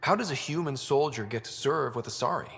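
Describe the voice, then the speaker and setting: A man's voice: fairly quick, vocal fry, a bit bright, slightly rough. An actor playing an earnest young male space explorer and team leader in a video game, with a diplomatic manner. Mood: curious. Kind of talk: video game cutscene acting. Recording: hall reverb, faint rumbling noise.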